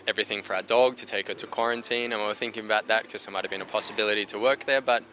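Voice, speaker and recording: A man's voice: fairly low pitch, steady tone, rapid-fire, bright, forceful tone, a little hiss or hum. A man with an Australian accent, a dancer and choreographer answering interview questions in an easygoing, chatty way. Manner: easygoing, chatty, starts sentences with "so". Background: music playing.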